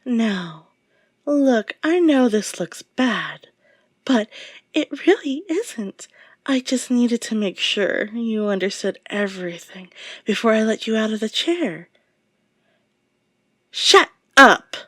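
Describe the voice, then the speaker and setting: A woman playing an obsessive, possessive kidnapper in an audio roleplay, unhinged and menacing, swinging between insistent outbursts and low murmurs. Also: lively intonation, takes it slowly, long pauses, no echo, clean studio sound. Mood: agitated, insistent. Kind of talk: dramatic audio roleplay monologue.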